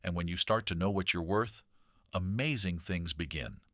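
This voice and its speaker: Man voicing an earnest, low-pitched young boy. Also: normal speed, sharp tone, no echo, clean studio sound. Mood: encouraging. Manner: clear, earnest, reassuring. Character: earnest young boy gaining confidence, kind and encouraging.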